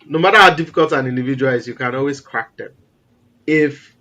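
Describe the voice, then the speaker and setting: A man from Nigeria with a naturally loud voice, talking as an interview guest in an animated, emphatic way. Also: medium pitch, fairly quick, lo-fi sound. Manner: animated, emphatic, repeats words for stress. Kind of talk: casual interview conversation.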